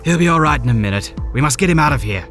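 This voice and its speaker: A man's voice: on the high side, animated, rapid-fire, fairly bright tone, soft background music. A man playing a grim, determined male rebel guide in an audio drama, speaking earnestly and giving orders. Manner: earnest, commanding.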